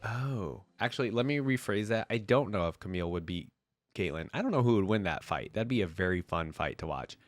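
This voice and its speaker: Nasal, low-voiced man with a head cold. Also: expressive, a little fast, no echo. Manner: rambling, hedging, informal. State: congested with a cold. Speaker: reaction video host. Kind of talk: casual fan commentary.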